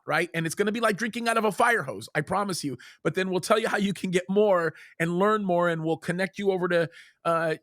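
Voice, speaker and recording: A man hosting a ministry video, introducing his guest in an energetic, hyped-up, preacherly way. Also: high-pitched, no room sound, studio quality. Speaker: show host.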